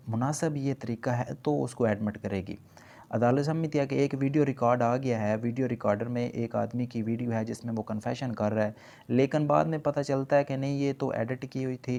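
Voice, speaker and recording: Creaky-voiced Pakistani man lecturing. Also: mid-range voice, darkish tone. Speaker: law lecturer. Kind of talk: explanatory lecture mixing in English legal terms.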